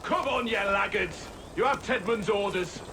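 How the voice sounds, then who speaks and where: An actor playing a harsh, bullying soldier in a video game. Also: male voice, high-pitched, moderate pace, background noise. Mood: barking. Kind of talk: video game character acting. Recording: game ambience behind the voice.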